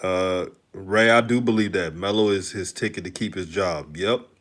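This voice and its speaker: A man's voice: deep voice, moderate pace, fairly bright tone. Chatty Texan man reading the chat. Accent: slight Texan. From Houston, Texas. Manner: loose, chatty, laughs often. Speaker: live-stream host. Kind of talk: casual live-stream talk, reading the chat.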